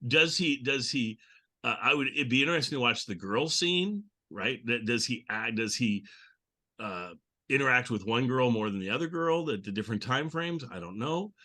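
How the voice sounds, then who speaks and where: A man's voice: mid-range voice, animated, moderate pace, sharp tone. A man hosting a casual podcast discussion, chatty and appreciative, often restarting his sentences. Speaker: podcast host discussing old television and radio comedy.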